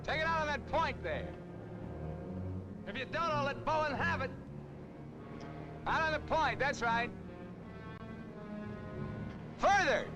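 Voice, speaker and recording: Menacing film villain, wildly varied pitch. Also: male voice, animated, slow pace, long pauses, full character voice. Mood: menacing, taunting. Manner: taunting, commanding. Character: menacing, taunting male killer holding hostages at gunpoint. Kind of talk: 1950s film thriller acting. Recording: old film soundtrack with music.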